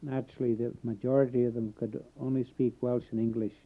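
A man born in Canada recalling his Welsh community in a halting oral history interview, pausing often. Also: mid-range voice, flat delivery, a little hiss or hum. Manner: halting, many filler pauses. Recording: tinny phone-like old tape.

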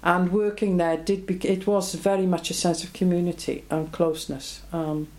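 A woman's voice: lowish voice, little pitch movement, moderate pace, a little mellow. A woman in her seventies, born in Belgium, recalling her life in an oral history interview, reflective and plain-spoken. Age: 70s.

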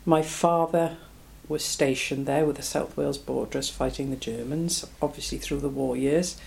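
Low, mellow-voiced woman in her seventies. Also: fairly even intonation, normal speed, vocal fry, slight background noise, low-quality recording. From Belgium. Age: 70s. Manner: reflective, plain-spoken, frequent ums. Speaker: oral history interviewee. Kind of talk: oral history interview.

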